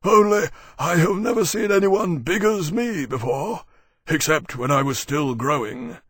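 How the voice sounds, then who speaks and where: A man voicing a huge, hearty, blunt male dragon with a booming, drawn-out delivery in an audiobook. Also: animated, a bit bright, slightly rough, no echo, clean studio sound, heavily acted. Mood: defensive.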